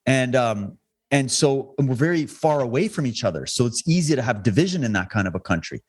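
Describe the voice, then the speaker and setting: A man speaks as a podcast guest, his voice sitting at an ordinary middle pitch. He talks with fervent conviction, pushing higher and louder when he is stirred up. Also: animated, a little fast, husky. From Canada (Alberta). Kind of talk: conversational podcast interview.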